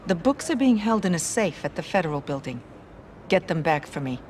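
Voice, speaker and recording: Hard-nosed American brothel madam. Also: female voice, lowish voice, sing-song, fairly quick, darkish tone, a little hiss or hum. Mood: insistent. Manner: blunt, bargaining, matter-of-fact. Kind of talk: video game cutscene acting.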